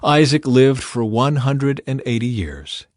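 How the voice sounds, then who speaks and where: A man narrating scripture as an audiobook reader, in a measured, solemn way. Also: medium pitch, animated, a little mellow, no echo, studio quality.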